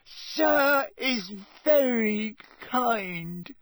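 A man voicing a small, anxious, adoring house-elf servant with a squeaky voice and servile, broken speech for an audiobook. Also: expressive, drawn-out words, vocal fry, a bit bright, no room sound, full character voice. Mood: overwhelmed, adoring. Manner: servile third-person speech with broken grammar. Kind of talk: audiobook character voice.

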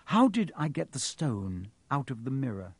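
A man's voice: medium pitch, big pitch swings, moderate pace, husky, no room sound. A man voicing an earnest, curious schoolboy wizard in a storybook, thoughtful and questioning in his manner.

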